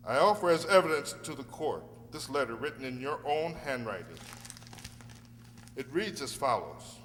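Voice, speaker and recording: An actor playing an earnest, dogged defence barrister with formal, oratorical delivery in a radio play. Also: male voice, medium pitch, a bit bright. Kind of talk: courtroom radio play acting. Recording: hall reverb with faint hum.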